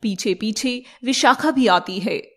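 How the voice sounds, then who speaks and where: A woman narrating a scripted animated fairy tale as storyteller, with noise behind her. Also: fairly high pitch, measured pace.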